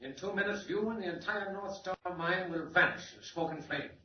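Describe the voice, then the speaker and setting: An actor playing a coolly menacing, gloating villain in a 1940s radio drama. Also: male voice, high voice, a little fast, slight background noise, natural voice. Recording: distant, very echoey, muffled old radio recording.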